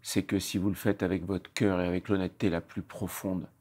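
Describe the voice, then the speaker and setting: A man's voice: sits low, little pitch movement, a little fast, darkish tone. A man interviewing a guest, summing up ideas in long linked phrases. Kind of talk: interview conversation.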